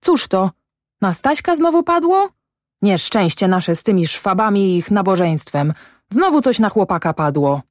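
A woman's voice: mid-range voice, sing-song, moderate pace, dry recording, clean studio sound, pitched above the performer's own voice, close to an everyday voice. A woman voicing a distraught, bossy peasant mother in an audiobook story, with urgent exclamations in rustic dialect. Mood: scolding, alarmed. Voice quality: trembling voice.

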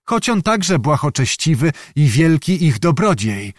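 A man voicing a chatty, devoted young servant lad, talking excitedly in rustic old-fashioned speech. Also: on the high side, measured pace, dry recording, clean studio sound, voice pushed up. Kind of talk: audiobook character voice.

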